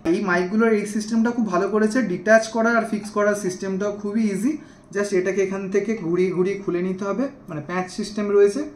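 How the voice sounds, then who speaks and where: Man, high-pitched voice, rapid delivery, casual gear reviewer, explains step by step to viewers. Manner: explanatory, addresses viewers directly. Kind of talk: casual hands-on product demonstration to viewers. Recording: echoey room, faint background noise.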